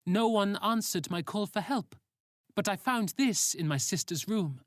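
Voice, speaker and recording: A rebellious teenage boy in an audio story as a narrator acts him out, his voice pushed well up in pitch. He pleads earnestly and insistently, with lively swings and a close, dry sound. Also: unhurried, dry recording, close to an everyday voice. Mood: anxious.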